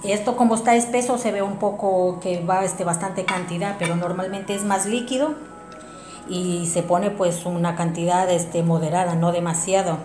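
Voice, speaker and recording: A woman's voice: lowish voice, steady tone, moderate pace, darkish tone. A woman giving an informal hands-on craft tutorial, explaining each step directly to viewers. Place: small domestic room indoors. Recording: roomy echo, music behind.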